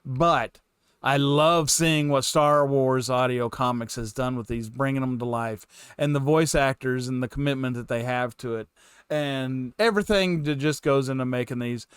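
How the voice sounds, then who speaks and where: A man's voice: mid-range voice, steady tone, moderate pace, clean tone, no room sound, studio quality. A man giving casual, unscripted reaction commentary, rambling and repeating himself as a host. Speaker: fan reaction channel host.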